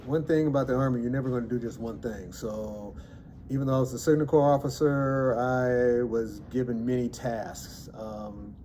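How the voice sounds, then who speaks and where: A man's voice: mid-range voice, moderate pace, warm tone, a little hiss or hum. A man from Alabama, a former Army Signal Corps officer, talking in a chatty, wry way with frequent ums. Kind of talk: recorded personal interview.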